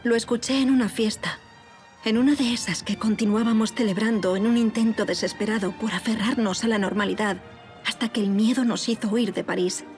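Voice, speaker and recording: A woman's voice: a little high, steady tone, brisk, darkish tone, faint music behind, natural voice. An actress playing an anguished aristocratic woman of revolutionary France who tells her own story in formal, literary language in an audio drama. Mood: somber, reflective. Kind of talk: dramatic audio fiction monologue and dialogue.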